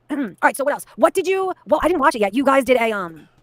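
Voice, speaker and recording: A woman hosting a livestream, excitable and profane, laughing often as she chats. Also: high-pitched, fairly quick, crowd noise. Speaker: livestream host. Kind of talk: casual livestream chat.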